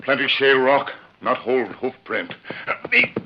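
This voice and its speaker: A man's voice: medium pitch, measured pace, lightly acted. A noisy, muffled old radio western. A man plays a loyal, watchful scout who talks in clipped broken English and grunts his agreement. He is terse and alert. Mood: watchful, steady. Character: loyal, watchful Native scout companion to a masked lawman. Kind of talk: 1940s radio western acting.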